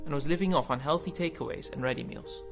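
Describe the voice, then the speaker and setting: A man reads a measured documentary voice-over, his tone a little high for a man. Clear guitar music plays under his narration throughout. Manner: measured, informative.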